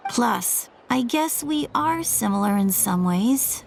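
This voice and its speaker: A woman's voice: fairly high pitch, expressive, slow, gravelly, a little hiss or hum, exaggerated voice. A woman playing a stubborn, proud younger sister in a video game, with a sulky, dry, grudging manner.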